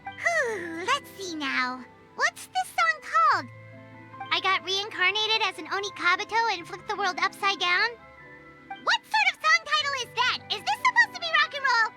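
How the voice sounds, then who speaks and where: A woman voicing a small, excitable, childlike fairy companion in a video game, squeaky and exclamatory. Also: moderate pace, loud music behind the voice. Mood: baffled. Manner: refers to self in third person, exclamatory.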